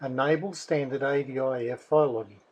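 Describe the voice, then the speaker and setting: A man narrates a scripted software tutorial. His voice is somewhat husky and sits at a medium pitch. He moves through each instruction methodically. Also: fairly even intonation, brisk, low-quality recording. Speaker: software developer presenting a tutorial.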